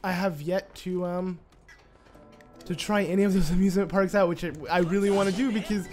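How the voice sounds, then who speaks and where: A man's voice: high voice, a little fast, music in the background. Chatty nasal-sounding man narrating gameplay. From United States. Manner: chatty, excitable, rambling with fillers. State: nasal, stuffy voice. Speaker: let's play host. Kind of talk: casual gameplay commentary.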